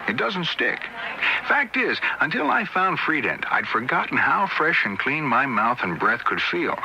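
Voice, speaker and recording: An actor playing a cheerful, chatty everyday customer giving a testimonial in a radio commercial. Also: male voice, mid-range voice. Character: cheerful, relieved everyday male gum customer. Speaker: advertising spokesman. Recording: noisy old radio recording.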